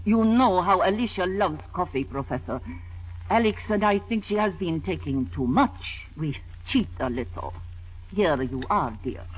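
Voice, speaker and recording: An actress playing a cold, controlling older mother with a measured, commanding manner in a 1940s radio drama. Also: fairly low pitch, lively intonation, slightly rough. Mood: controlled, formal. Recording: dull vintage radio recording with music.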